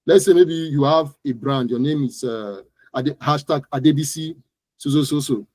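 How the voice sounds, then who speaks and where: A man's voice: a little high, normal speed, a little mellow, dry recording. A man with a Nigerian accent giving interview answers as an expert guest, explaining things emphatically and often repeating words and phrases. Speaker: guest digital marketing expert.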